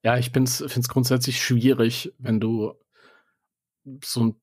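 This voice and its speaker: A man of average pitch chats as a podcast co-host. He gives his opinions casually, in colloquial, wry phrasing. The recording is dry, without any room echo. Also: flat delivery, takes it slowly, a little mellow, slightly rough.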